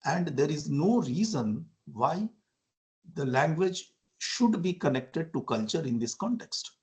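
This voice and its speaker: A man's voice: fairly high pitch, big pitch swings, unhurried, a little mellow. A man from India, giving an academic lecture over a video call, explaining with rhetorical questions and frequent "uh" fillers. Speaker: university lecturer.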